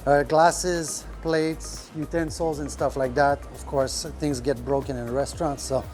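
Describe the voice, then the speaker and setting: Man talking shop, halting. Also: on the high side, level tone, a little mellow, faint music behind. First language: French. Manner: rambling, many fillers, searches for words. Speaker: restaurant owner. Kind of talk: casual podcast talk. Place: restaurant kitchen.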